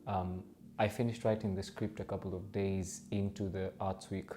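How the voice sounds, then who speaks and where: A young man from Kenya, a student playwright and director, giving a measured, composed interview. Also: low voice, little pitch movement, warm tone, slight background noise.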